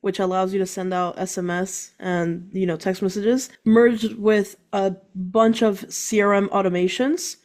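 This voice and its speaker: A woman who is a guest on a business podcast, explaining her work quickly and enthusiastically. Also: medium pitch, fairly even intonation, darkish tone. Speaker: guest entrepreneur. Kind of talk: casual interview chat.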